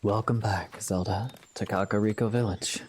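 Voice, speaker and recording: A man playing a calm, kind young knight in an audio drama, reassuring and forgiving. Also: lowish voice, normal speed, faint music behind, natural voice. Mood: calm warmth.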